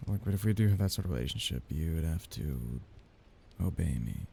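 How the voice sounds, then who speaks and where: A man playing a soft-hearted, nervous young mafia heir in an intimate ASMR romance roleplay, speaking softly, haltingly and apologetically. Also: a bass voice, expressive, creaky, a little hiss or hum, heavily acted. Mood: surprised, hesitant.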